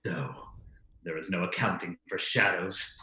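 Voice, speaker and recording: A man's voice: low-pitched, fairly even intonation, unhurried, pushed and bright, roomy sound, full character voice, rough recording. A man playing a blustering, volatile brother in an old-time radio ghost drama, theatrical and falsely jovial. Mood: brooding bluster. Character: blustering, volatile brother hiding guilty dread.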